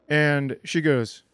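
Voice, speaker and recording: A man co-hosting a comedy podcast, telling casual stories and acting out the dialogue in an animated way. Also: fairly high pitch, no echo. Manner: animated, acts out quoted dialogue.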